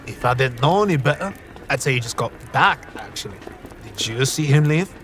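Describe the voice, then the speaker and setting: An actor playing a cheeky, scheming card cheat in an audio fantasy drama, with a sly, teasing, slangy way of talking. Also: male voice, mid-range voice, animated, a little fast, fairly bright tone, faint music behind, natural voice. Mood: casual, wary.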